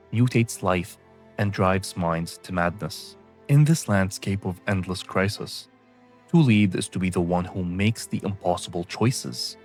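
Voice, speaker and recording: A young man from Syria narrates a scripted video essay in a very low voice. His delivery is measured and solemn. Faint music plays beneath him.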